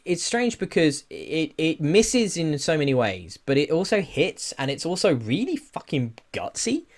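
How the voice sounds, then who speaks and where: A man's voice: on the high side, very animated, measured pace. Man chatting casually and opinionatedly. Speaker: podcast host. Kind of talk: casual opinionated discussion of films and games.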